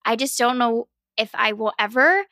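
A woman's voice: on the high side, normal speed, sharp tone, no room sound, studio quality. An American woman aged thirty hosting a podcast, talking in a candid, informal and reflective way. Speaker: podcast host, Navy service member. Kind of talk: casual reflective conversation.

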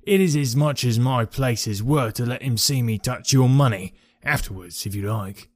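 A man's voice: mid-range voice, normal speed, no room sound, close to an everyday voice. A man reading aloud, voicing a wary male stable groom who is brusque and then deferential.

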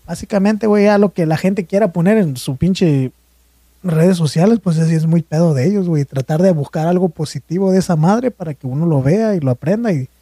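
Young mexican dude rambling on a podcast, kinda high voice, dry close sound. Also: male voice, brisk, warm tone, husky, dry recording. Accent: Mexican Spanish. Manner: slangy, rambling, swears freely. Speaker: podcast co-host. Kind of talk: casual chat.

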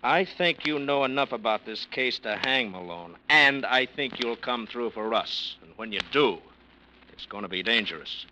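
Bright-voiced, needling police detective. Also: male voice, medium pitch, lively intonation, moderate pace. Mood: needling, warning. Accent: American. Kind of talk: old-time radio crime drama acting. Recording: faintly noisy old radio recording.

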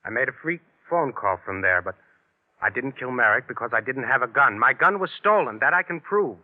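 A man's voice: mid-range voice, animated, fairly quick, natural voice. Man playing a cocky streetwise suspect, tinny old radio. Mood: insistent, defiant. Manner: insolent, slangy. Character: cocky, defiant male murder suspect, streetwise and slangy. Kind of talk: old-time radio crime drama acting. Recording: tinny, narrow-band old radio recording.

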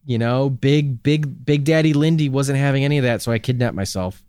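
A man co-hosting a comedy podcast, riffing absurd bits with a deadpan delivery. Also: mid-range voice, fairly quick, clear, resonant tone, no echo, clean studio sound. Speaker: podcast co-host. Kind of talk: improvised comedy podcast banter.

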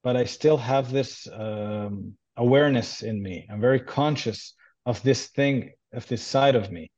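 Mellow-voiced man. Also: medium pitch, measured pace, muffled recording. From Israel. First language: Hebrew. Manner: hesitant, many ums and restarts. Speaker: translator, guest. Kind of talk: casual interview talk.

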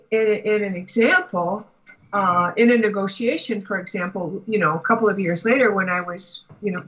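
An American woman talking conversationally as a guest spiritual teacher, explaining and telling anecdotes in a measured, deliberate way. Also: mid-range voice, pushed and bright, muffled recording. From United States. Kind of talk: conversational interview talk with anecdotes.

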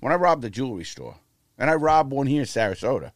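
A man's voice: medium pitch, fast talker, dry recording, studio quality. A man in his fifties telling blunt, profane stories as an interview guest. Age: 50s. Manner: blunt, profane, animated storyteller. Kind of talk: casual interview storytelling.

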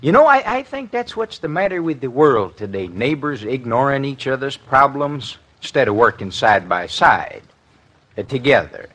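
An actor playing a homespun, sly neighbor in a classic radio comedy, with a hesitant, rambling way of talking. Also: male voice, medium pitch, very animated, moderate pace, a bit bright. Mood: friendly. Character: folksy, sly, neighborly man next door.

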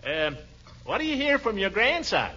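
An actor playing a pompous, genial middle-aged American uncle who blusters and hems in 1940s radio comedy style. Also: male voice, high voice, wildly swooping pitch, measured pace, sharp tone, exaggerated voice. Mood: sociable, courtly. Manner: blustery, hemming, exclaiming. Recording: muffled, noisy old radio recording.

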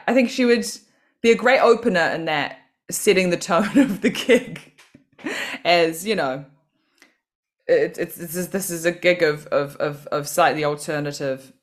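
A woman from New Zealand, a comedian and podcast guest, talking in a chatty, self-deprecating way and laughing often. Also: sits low. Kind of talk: casual podcast interview.

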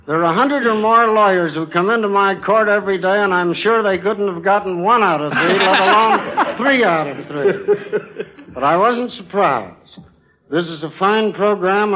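A man's voice: sits high, narrow, tinny audio. An American man speaking formally and courteously as a guest quizmaster, in prepared talk on a 1940s radio broadcast. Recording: thin band-limited 1940s radio broadcast.

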